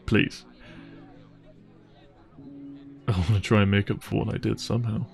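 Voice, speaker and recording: A man acts out a devoted, apologetic boyfriend in an intimate audio roleplay. His voice is low with a gravelly creak, and he speaks tenderly and sincerely, phrase by careful phrase, over faint music. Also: fairly even intonation, fast talker. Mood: regretful, pleading. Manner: earnest, tender, hesitant phrasing with sighs. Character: apologetic, devoted, secretly wealthy boyfriend on a dinner date. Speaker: voice actor.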